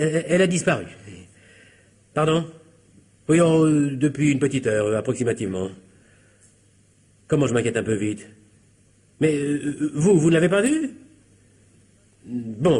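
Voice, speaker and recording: A man's voice: a little high, a little mellow, slightly rough, heavily acted. An actor playing a nervous, scheming husband in a comic radio mystery, stammering and repeating himself as he frets. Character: nervous, scheming husband and son who botched killing his wife. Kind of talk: French comic radio mystery play acting.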